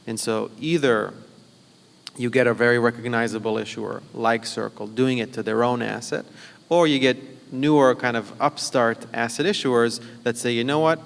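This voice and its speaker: Male panelist, gravelly, casual technical talk, long hall reverb. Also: medium pitch. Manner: fast, technical, conversational with many fillers. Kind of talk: conference panel discussion. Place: conference hall.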